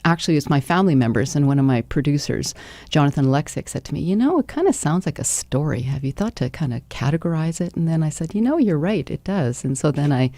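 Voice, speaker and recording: A woman's voice: low voice, lively intonation, quick pace, dark tone, no room sound. A Canadian woman speaking effusively as a musician guest in a live radio interview. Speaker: interview guest, singer-songwriter. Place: radio studio.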